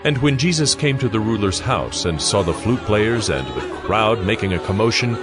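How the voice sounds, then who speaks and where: A man's voice: fairly low pitch, lively intonation, normal speed. A man narrating a dramatized audio Bible in a solemn storytelling manner. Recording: music behind the voice. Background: background music.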